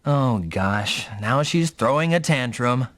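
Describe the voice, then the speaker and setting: A man's voice: mid-range voice, expressive, takes it slowly, fairly bright tone, no echo. A man voicing a young, easygoing, teasing mech pilot in an anime-style video game dub. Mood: teasing, exasperated. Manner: casual, teasing.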